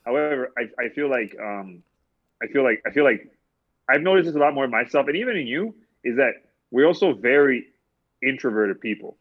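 A man in his twenties co-hosts a relaxed podcast chat. He speaks at a mid pitch, hesitates a lot and repeats words before he lands his thoughts. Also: fairly quick, narrow, tinny audio. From born in Congo. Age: 20s. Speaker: podcast co-host. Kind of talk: casual chat.

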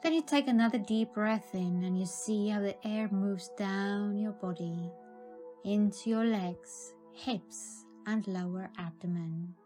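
A woman narrating a scripted guided meditation in a calm, soothing, measured manner. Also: medium pitch, soft background music.

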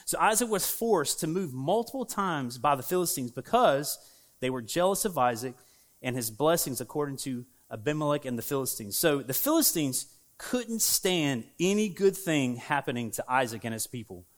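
A man with a Southern American accent preaches in a church, his voice sitting a little higher than most men's. He talks to the congregation in a relaxed, conversational way, full of fillers and asides. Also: moderate pace. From United States. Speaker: pastor. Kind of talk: sermon. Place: church sanctuary.

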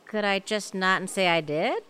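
A woman playing a flustered shopper who is trapped at a poetry reading, with some hushed asides. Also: mid-range voice, animated, moderate pace, clear, resonant tone, voice pushed down. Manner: flustered, later whispering asides.

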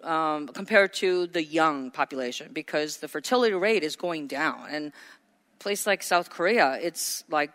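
A woman's voice: low-pitched, unhurried, fairly bright tone. A woman pastor preaching a sermon, earnest and asking her congregation questions. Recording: hall reverb.

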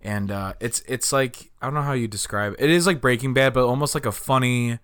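A man's voice: mid-range voice, fairly quick, a bit bright, clean tone, no room sound, studio quality. A young man co-hosting a podcast, chatting eagerly and filling his talk with "dude" and "like.". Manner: enthusiastic, lots of "dude" and "like". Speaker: podcast co-host. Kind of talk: casual podcast chat. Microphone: podcast microphone.

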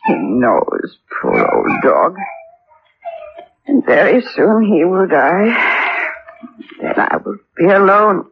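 An actress playing a weary, grieving widow in an old-time radio drama, speaking haltingly and sorrowfully. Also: female voice, lowish voice, wildly swooping pitch, creaky, breathy edge, heavily acted. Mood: tearful, weary. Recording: muffled, noisy old radio broadcast.

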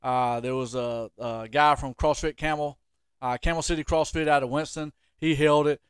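A man's voice: fairly high pitch, steady tone, normal speed, clean tone, dry recording, clean studio sound. A man hosting a casual sports podcast, chatting and ribbing his guest. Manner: teasing, ribbing. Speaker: podcast host.

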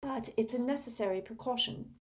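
A woman's voice: a little high, a little fast, voice pushed up, lightly acted. A woman voicing a prim, earnest teenage witch, polite but insistent, in an audiobook. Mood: apologetic. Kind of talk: audiobook character acting.

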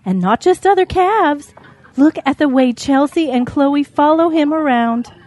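A woman's voice: sits high, animated, a little slow, darkish tone, no room sound. A woman voicing a proud, assured mother cow in a children's audio drama.

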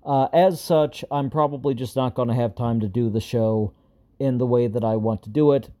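A man with a mellow, darker tone, his voice slightly raspy and strained. He rambles through a casual solo podcast update with lots of fillers and self-deprecating asides, recorded on a home mic. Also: medium pitch, moderate pace. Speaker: podcast host.

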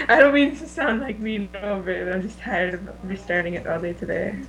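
A woman's voice: mid-range voice, brisk, a bit bright. A woman co-hosting a podcast in an informal, conversational way. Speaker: podcast co-host. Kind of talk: casual chat. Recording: noisy home recording with hum.

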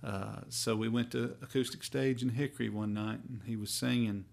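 A musician from North Carolina with a Southern accent, telling stories in a folksy, rambling way during a hotel-room interview. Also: male voice, medium pitch, fairly even intonation, creaky, a little mellow. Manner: rambling, folksy, drawn-out phrasing. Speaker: bluegrass musician guest. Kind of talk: casual storytelling interview.

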